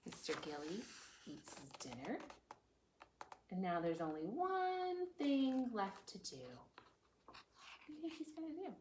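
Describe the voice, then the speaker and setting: A woman with a fairly high voice reads aloud for a preschool storytime in a very echoey room. She takes her time, with a sing-song delivery that swings widely in pitch. She often turns to her young listeners with questions. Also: wildly swooping pitch, measured pace, long pauses, background noise. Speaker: children's librarian, storytime host. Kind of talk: animated read-aloud picture book storytime for preschoolers. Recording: roomy, echoey indoor sound.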